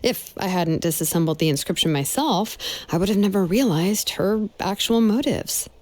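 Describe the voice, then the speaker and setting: A woman's voice: mid-range voice, animated, moderate pace, dry recording, natural voice. A woman voice actor playing a laid-back, protective young artisan who reassures casually and without hurry in a video game. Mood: matter-of-fact.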